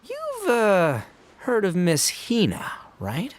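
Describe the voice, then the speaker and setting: An actor playing a brash, jovial, slow-witted young gang boss who talks in breezy slang in a video game. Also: male voice, sits high, huge pitch swings, heavy background noise, full character voice. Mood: breezy, boastful. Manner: boisterous, slangy, dramatic. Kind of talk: video game cutscene acting.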